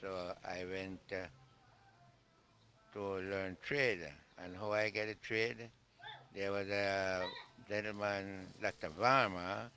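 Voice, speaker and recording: A man in his nineties with a Jamaican accent, telling his life story in a halting, rambling way in an interview. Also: low-pitched, steady tone, sharp tone. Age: 90s. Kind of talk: oral history interview.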